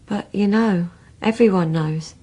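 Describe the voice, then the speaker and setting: A woman's voice: medium pitch, measured pace, mellow, husky. An actress playing a serious, subdued young woman under police questioning in a radio drama. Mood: subdued, flat. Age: young adult. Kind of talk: naturalistic radio drama acting.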